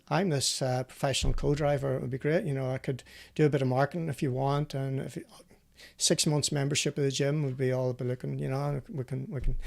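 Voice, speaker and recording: A man's voice: medium pitch, vocal fry, a little mellow. A man hosting a casual live motorsport chat, telling anecdotes and bantering in a chatty way. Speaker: host of a live rally show; former professional co-driver.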